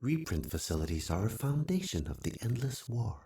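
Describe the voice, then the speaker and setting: An actor playing a calm, formal artificial intelligence, a steward of humanity, giving measured and precise game briefings. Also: male voice, medium pitch, lightly acted. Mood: formal, commanding.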